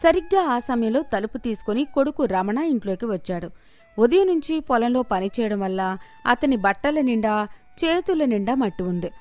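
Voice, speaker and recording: A woman's voice: a little high, animated, normal speed, darkish tone. A woman storyteller reading a scripted moral tale as narration.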